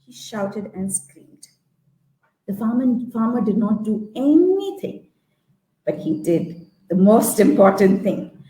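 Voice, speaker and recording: A woman's voice: medium pitch, very animated, takes it slowly, mellow. A woman telling a folktale live in a theatrical way, repeating phrases for effect and checking in with her listeners. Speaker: storyteller. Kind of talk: live interactive folktale storytelling with songs and actions. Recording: roomy, echoey live-stream sound.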